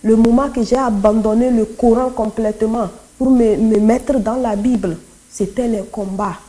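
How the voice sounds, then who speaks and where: A woman with a fairly high, very mellow voice shares her religious testimony. Her native language is Kotokoli. She tells it as a dramatic story, repeating phrases for emphasis and voicing the dialogue she quotes. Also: steady tone, normal speed, rough recording. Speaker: church testimony speaker.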